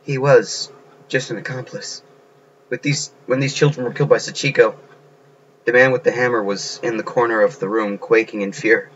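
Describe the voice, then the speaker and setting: A man voicing a shaken, solemn schoolgirl who has witnessed murders, in a grave, hushed delivery for a game. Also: medium pitch, steady tone, moderate pace, highs cut off, slight background noise, close to an everyday voice. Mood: urgent, pleading.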